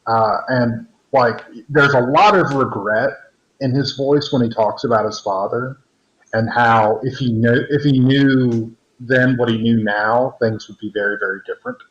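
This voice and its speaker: A man's voice: medium pitch, fairly even intonation, measured pace, fairly bright tone. A man co-hosting a casual podcast discussion, rambling with frequent restarts and fillers. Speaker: podcast co-host. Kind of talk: casual discussion over a call. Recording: roomy, dull lo-fi call audio.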